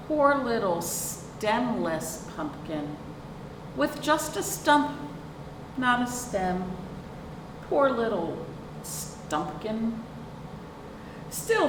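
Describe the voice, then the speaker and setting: Slow, animated woman reading a children's story. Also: on the high side. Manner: expressive, asks the listeners questions. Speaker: librarian hosting a children's storytime. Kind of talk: picture-book read-aloud with asides to young children. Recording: roomy, distant microphone, clear background noise.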